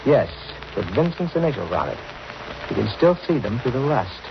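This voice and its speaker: Wary, insistent friend, medium-pitched, intent, on a muffled vintage radio recording. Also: male voice, very animated, normal speed, creaky, background noise. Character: earnest, cautious male friend warning of danger. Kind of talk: 1940s radio acting.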